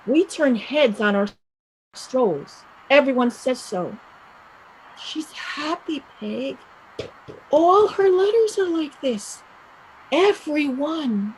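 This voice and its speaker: A woman playing a restless young Irish village woman set on emigrating, speaking emphatically and deliberately in a stage play reading. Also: high voice, sing-song, slight background noise, heavily acted, rough recording. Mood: earnest, hopeful.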